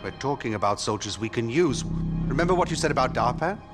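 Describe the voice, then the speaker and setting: A man's voice: mid-range voice, expressive, fast talker, loud music behind the voice, close to an everyday voice. A man playing a passionate, proud and persuasive rebel leader in a game cutscene. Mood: arguing.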